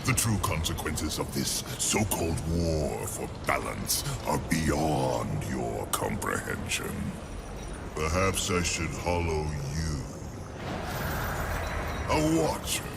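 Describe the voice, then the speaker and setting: Brief: a gravelly immortal game overlord, slow and mocking, wide dramatic sweeps in pitch. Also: male voice, very animated, heavily acted. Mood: scornful. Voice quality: deep gravelly. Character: ancient menacing male ruler of a soul realm. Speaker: video game character. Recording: game audio with loud music.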